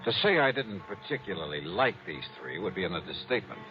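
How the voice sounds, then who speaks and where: A wry, world-weary detective in a vintage radio serial. His voice is fairly low with lively intonation, probing and dry. The old recording is muffled, with music around it. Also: fast talker. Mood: sardonic narration. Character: wry, world-weary male insurance investigator, narrating his case. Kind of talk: 1950s radio detective acting.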